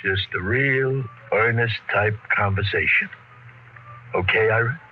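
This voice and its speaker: An actor playing a rough, cynical crewman with slangy, laconic talk, in 1950s radio drama style. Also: on the low side, measured pace, faint music behind, lightly acted. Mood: quietly menacing. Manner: slangy, laconic, hard-boiled. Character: rough, cynical tough-guy expedition crewman, menacing and slangy. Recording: dull, noisy vintage radio recording.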